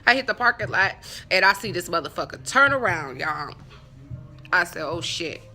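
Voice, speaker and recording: A woman vlogger telling a story casually and comedically to her viewers, animated and profane, with conversational asides and acted-out dialogue. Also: lowish voice, moderate pace, faint music behind.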